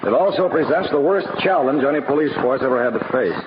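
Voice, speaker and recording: An actor playing a solemn, commanding police captain in an old radio crime drama, speaking with declamatory authority. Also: male voice, medium pitch, animated, fast, lightly acted. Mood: grave command. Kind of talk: 1940s radio crime acting. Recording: noisy, muffled old radio recording.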